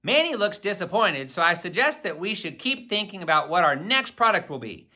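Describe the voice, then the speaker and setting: A man reading a children's novel aloud in the first person, with expressive, theatrical phrasing aimed at young listeners. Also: high voice, fairly quick. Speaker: audiobook reader.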